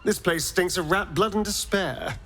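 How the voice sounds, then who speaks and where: A man's voice: sits high, moderate pace, fairly bright tone, soft background music. A man playing a theatrical, scornful vampire in a video game. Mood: flippant, testing. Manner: theatrical, sardonic, drawling. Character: theatrical, scornful, vengeful male vampire spawn.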